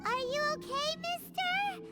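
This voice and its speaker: A woman voicing a small, childlike, excitable and timid fairy companion in a video game, exclaiming anxiously. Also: very high-pitched, unhurried, over music. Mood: nervous, puzzled. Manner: refers to self in third person, exclaiming, anxious.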